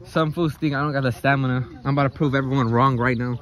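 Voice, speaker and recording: A young man vlogging a hike with loud, slangy, joking talk, swearing and dropping Spanish phrases, filming on a phone held at arm's length outdoors. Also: mid-range voice, steady tone, quick pace. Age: young adult. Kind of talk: casual hiking vlog. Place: outdoors on a hillside trail and in a car. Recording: phone audio with clear background noise.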